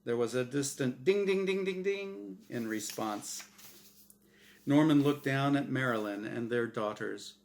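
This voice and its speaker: A man's voice: mid-range voice, unhurried. A man reading his own short story aloud as dramatic scripted narration.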